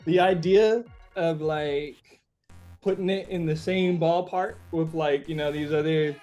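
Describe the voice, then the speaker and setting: A man being interviewed as a musician, chatting casually and reflectively, often halting as he searches for words. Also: on the high side, fairly even intonation, dark tone, faint music behind.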